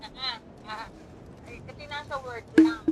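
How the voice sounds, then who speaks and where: A woman's voice: high-pitched, moderate pace. A woman in casual, offhand conversation. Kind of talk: casual chat.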